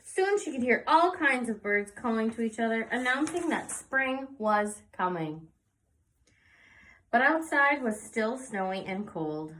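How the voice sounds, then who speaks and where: A woman reading a picture book aloud to young children in an expressive storytelling way, pausing for little asides. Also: a little high, rough recording. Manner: slow, animated, pausing for asides. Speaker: children's read-aloud presenter.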